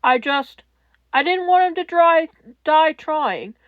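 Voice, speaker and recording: A woman voicing a guilt-ridden, shaken, weary man in a fan-fiction reading, halting and defensive. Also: sits high, animated, dry recording, phone-quality sound. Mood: guilty, defensive. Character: guilt-ridden, shaken middle-aged man, retired-hero weariness.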